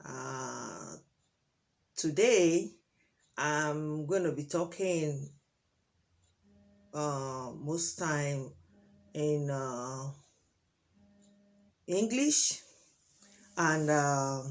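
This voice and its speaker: A Nigerian woman whose first language is Yoruba, sharing her opinions in a monologue to camera. She talks deliberately, with many fillers and pauses, and turns emphatic on key points. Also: low voice, animated. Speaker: vlogger.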